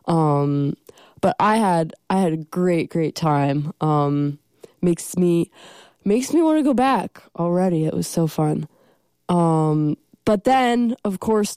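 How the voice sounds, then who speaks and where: A woman's voice: lowish voice, warm tone, smooth, no echo. A young woman with a stuffy cold, hosting a college radio show in casual, rambling chat from a studio. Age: young adult. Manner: rambling, self-deprecating, many ums.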